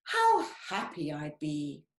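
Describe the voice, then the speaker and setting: A woman's voice: low-pitched, huge pitch swings, slow pace, exaggerated voice. Woman voicing a flustered little chameleon boy. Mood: fretful. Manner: pleading, flustered. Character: anxious, earnest little male chameleon. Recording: echoey room.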